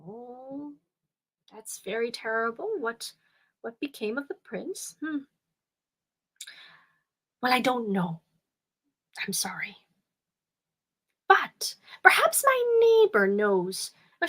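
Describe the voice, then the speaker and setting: A woman voicing an odd, excitable old woman who flutters and drops into hushed whispers. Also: sits high, takes it slowly, pitched above the performer's own voice, heavily acted.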